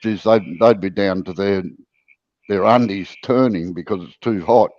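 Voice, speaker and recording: A man's voice: fairly low pitch, unhurried, darkish tone, dry recording, muffled recording. An Australian man hosting a woodturning livestream, chatting casually with viewers in a laid-back, drawling way and sounding breathless. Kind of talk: casual chat with viewers and co-host.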